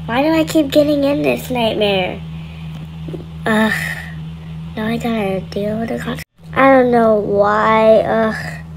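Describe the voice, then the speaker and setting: A woman's voice: a little high, vocal fry, noisy background. A woman voicing a scared, lonely young boy in an amateur game roleplay, hesitant and sighing as the character mutters. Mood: frustrated, uneasy.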